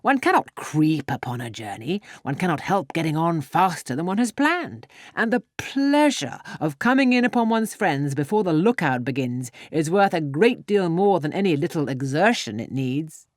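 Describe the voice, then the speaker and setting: A man's voice: a little high, sing-song, moderate pace, few pauses, dry recording, clean studio sound. Woman doing a jolly dad in a classic novel, middle-aged gent, emphatic and exultant. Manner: hearty, emphatic. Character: jovial, exultant middle-aged gentleman, proud father. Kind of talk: audiobook character dialogue.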